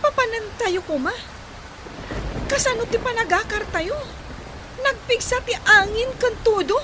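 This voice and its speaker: A woman's voice: very high-pitched, lively intonation, unhurried. A woman playing a frightened, complaining, anxious character in a Philippine radio drama. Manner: anxious, questioning. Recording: very noisy, loud storm effects.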